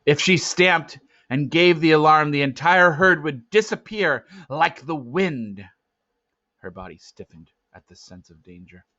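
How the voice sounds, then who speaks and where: A man reading a storybook aloud as narrator, with dramatic, suspenseful swells and hushed drops. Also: fairly high pitch, lively intonation, measured pace. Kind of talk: expressive storybook read-aloud. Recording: dull lo-fi, roomy echo.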